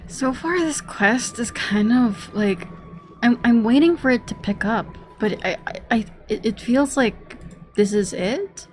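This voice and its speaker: A woman streaming a game with casual, halting commentary, sometimes reading lines aloud. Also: on the high side, animated, faint music behind.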